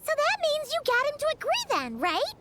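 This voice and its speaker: A squeaky tiny fairy companion. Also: female voice, huge pitch swings, moderate pace, very noisy, exaggerated voice. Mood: impressed. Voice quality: very high, squeaky and chirpy. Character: tiny floating female fairy companion, chatty. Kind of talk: game character dialogue.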